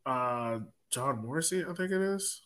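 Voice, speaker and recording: Male sports podcast co-host, average pitch, informal and rambling conversational delivery. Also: expressive, a little slow, a bit bright. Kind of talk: casual sports discussion.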